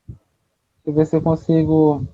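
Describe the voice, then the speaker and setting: High-voiced host with a husky tone. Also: male voice, monotone, a little slow, dark tone. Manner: easygoing, coordinating guests. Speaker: live stream host. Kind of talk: casual chat hosting an online live. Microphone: video call microphone. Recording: muffled live stream audio.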